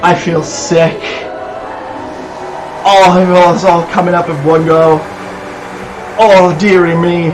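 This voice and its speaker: Slow-talking nervous gameplay host. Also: male voice, sits high, over music, low-quality recording. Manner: nervous, muttering to himself, bursts of excitement. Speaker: let's play host. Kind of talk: live gameplay commentary.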